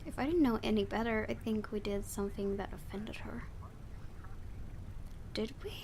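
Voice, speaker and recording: A woman reading a visual novel game aloud in an expressive storytelling style, with her own asides. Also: mid-range voice, normal speed, a little hiss or hum.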